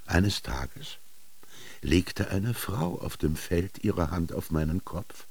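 An actor playing a solemn, brooding ancient Egyptian royal scribe in a radio drama, declaiming deliberately and theatrically. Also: male voice, deep voice, expressive, gravelly, a little mellow, breathy edge, exaggerated voice. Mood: quiet, reflective. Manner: deliberate, declaiming, theatrical, swinging between murmur and proclamation. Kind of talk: literary radio drama monologue.

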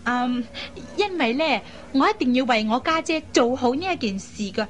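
A woman acting a lively, headstrong young matchmaking sister in a radio drama, with a chatty, coaxing manner. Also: sits high, normal speed. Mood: playful, insistent. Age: young adult. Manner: coaxing, chatty, expressive with sighs and particles.

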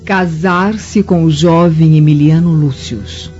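An actress playing a scheming, coolly commanding Roman mother in a radio drama, deliberate and theatrical. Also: low voice, music in the background. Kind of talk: dramatic radio play acting. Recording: muffled radio drama recording.

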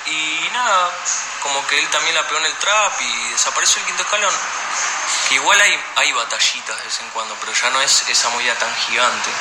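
A man with an Argentine accent being interviewed, chatting casually with lots of slang. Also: on the high side. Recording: echoey, noisy on-location interview.

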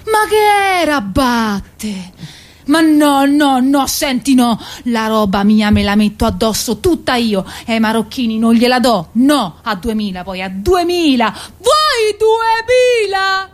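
A woman's voice: on the high side, animated, slow. A woman acting a plaintive, naive, earthy working-class woman in a theatrical radio monologue, lamenting and swinging between mutters and wails. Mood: indignant.

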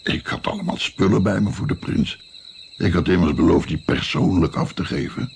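An actor playing an old grandfather in a radio play, reflectively recounting his past travels in a gravelly voice. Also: sits low, normal speed, a little hiss or hum. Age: older. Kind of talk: radio drama storytelling.

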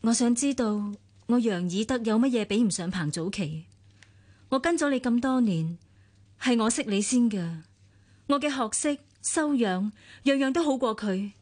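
A jealous, composed companion. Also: female voice, a little high, animated, normal speed, a little mellow. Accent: Hong Kong Cantonese. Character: poised woman, jealous longtime companion. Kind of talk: radio drama acting.

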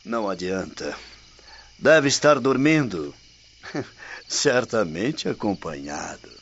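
Man doing teasing roman noble, slow and bouncy, crickets chirping behind, lo-fi. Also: on the low side, very animated, slight background noise, full character voice. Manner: teasing, laughing, calls out loudly. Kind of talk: radio drama acting.

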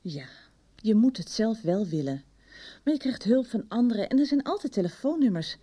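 An actress playing a patient, supportive woman in a radio drama, gentle and encouraging. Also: fairly high pitch, sing-song, moderate pace, slightly rough. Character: patient, supportive female helper coaxing a friend. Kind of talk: 1990s Dutch radio drama acting.

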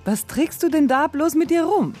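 A woman's voice: high-pitched, lively intonation, normal speed, warm tone. A sassy femme fatale in a noir radio play. She taunts and flirts brazenly, with faint music playing behind her. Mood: flirtatious, teasing.

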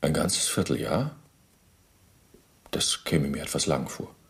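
An actor playing a bureaucratic, self-justifying Nazi war criminal under interrogation in a radio play, speaking formally and officiously. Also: male voice, very deep voice, animated, takes it slowly, vocal fry, heavily acted. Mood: cooperative, precise. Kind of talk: German radio docudrama interrogation acting.

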